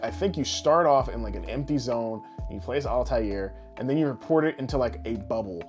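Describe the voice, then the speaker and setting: A man's voice: medium pitch, lively intonation, fairly quick. A man co-hosting a casual podcast, talking in an emphatic, exasperated and profane way. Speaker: podcast host. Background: guitar music behind the voice.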